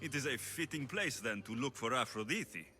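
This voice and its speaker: Game lead: dry, wry mercenary, bright forceful tone, highly varied pitch. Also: male voice, lively intonation, moderate pace, faint music behind. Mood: impatient. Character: gruff, wry male mercenary hero of ancient Greece. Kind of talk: video game cutscene acting.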